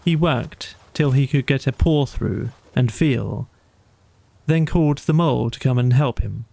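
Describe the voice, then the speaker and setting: A man's voice: medium pitch, measured pace, creaky, mellow. A man reading a children's bedtime story as scripted audiobook narration.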